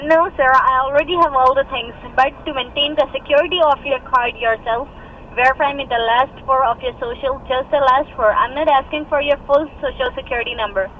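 A woman's voice: high-pitched, a little fast, a little hiss or hum. Insistent woman on a muffled phone line. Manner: insistent, repetitive scripted demands. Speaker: scam caller. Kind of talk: phone call. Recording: muffled phone audio over car speaker.

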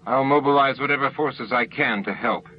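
A man's voice: medium pitch, moderate pace, muffled recording, slight background noise, voice pushed up, lightly acted. A man voicing a harried, decisive first minister in an audiobook, clipped and authoritative.

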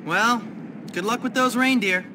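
A man's voice: extremely high pitch, measured pace, pushed and bright, a little hiss or hum, full character voice. A man voicing a cocky, sarcastic schoolboy tough with a wry delivery, in a video game cutscene.

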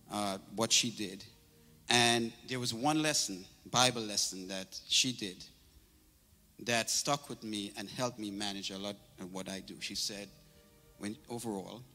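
A middle-aged man from Trinidad speaks into a handheld microphone, his voice notably bright and forceful. His tribute rings out through a large church sanctuary with long reverb. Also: fairly low pitch. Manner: warm storytelling, grows animated and laughing. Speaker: church minister giving a tribute. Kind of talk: memorial service tribute. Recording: reverberant church hall, faint noise.

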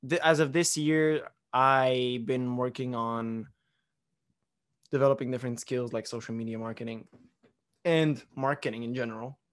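A young man from Romania talks casually on his own podcast, recorded at home on a close studio mic. His voice sits at an average pitch for a man. He rambles with fillers and restarts as he tells his story. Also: fairly bright tone. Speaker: podcast host. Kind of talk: casual chat. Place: living room at home. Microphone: studio mic on boom arm, close.

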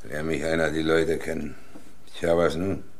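An actor playing a direct, exasperated workplace boss in a radio play, speaking bluntly and colloquially. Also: male voice, very deep voice, level tone, moderate pace. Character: direct, exasperated male work brigade boss. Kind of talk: German radio drama acting.

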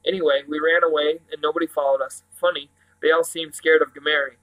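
A man reads as a storybook older brother, still a boy. He is weary, abrupt and skeptical, brushing things off in a fairly high male voice. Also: flat delivery, fast, a bit bright, lightly acted, rough recording.

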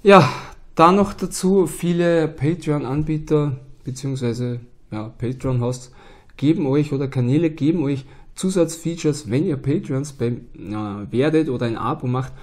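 A man's voice: a little high, a little slow. A man in his thirties with an Austrian accent and a cold, chatting to camera as a board game channel host in a small room at home. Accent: Austrian German. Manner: relaxed and chatty, with many filler "ja"s and "ähm"s. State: has a cold. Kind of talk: casual talk to camera.

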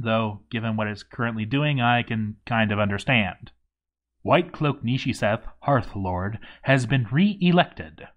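A man's voice: mid-range voice, lo-fi sound. Guy telling a story all sardonic and sweary, voice goes up and down a lot. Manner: wry, sardonic, profane. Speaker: narrator reading a game playthrough log. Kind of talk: dramatic comic storytelling, scripted narration.

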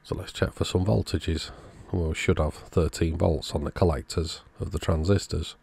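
A man's voice: low-pitched, steady tone, moderate pace, vocal fry, a little mellow, breathy edge, no echo. A man giving an informal, matter-of-fact narration of a hands-on repair, thinking aloud as he works. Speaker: electronics repair YouTuber.

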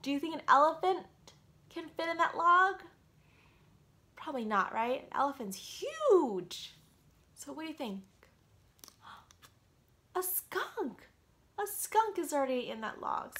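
A woman's voice: sits high, measured pace, long pauses. A woman in her thirties reading a picture book aloud interactively, putting questions straight to young children. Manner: animated, questioning, addresses the child directly. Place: small quiet room at home.

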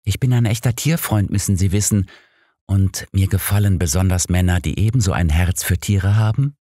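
A man's voice: low voice, normal speed, mellow, no echo, clean studio sound. A man voicing a flirtatious, forward female train passenger in an audiobook. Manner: cooing, coquettish. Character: flirtatious forward lady train passenger, later shrill and panicked.

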